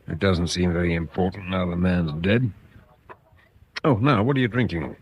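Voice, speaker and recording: An actor playing a courteous, quietly probing police inspector with an English accent in a radio drama. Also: male voice, low-pitched, husky, lo-fi sound. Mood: mild, probing. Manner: polite, measured, drily insistent.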